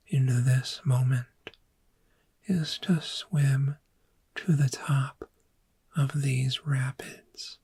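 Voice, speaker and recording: A very breathy, whispered voice, a woman acting as a calm dragon therapist in an ASMR roleplay. The delivery is extremely slow and deliberate, with a soft, mellow tone that gently soothes the listener through guided imagery. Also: a bass voice, heavily acted.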